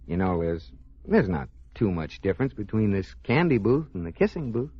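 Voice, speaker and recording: A wry, loving husband in a vintage radio comedy. His voice is low and very animated, full of dry teasing. The sound is dull, like an old broadcast. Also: normal speed, slightly rough, no room sound. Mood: tender. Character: George, wry, affectionate husband and banker. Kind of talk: 1940s-50s radio comedy acting. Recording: dull, noisy old radio recording with clicks.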